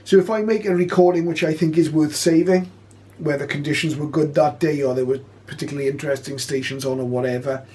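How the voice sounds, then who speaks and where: A man presenting an informal spoken tutorial, explaining step by step with hesitant pauses. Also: on the high side, fairly even intonation, dark tone, breathy edge. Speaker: presenter of a shortwave radio tutorial.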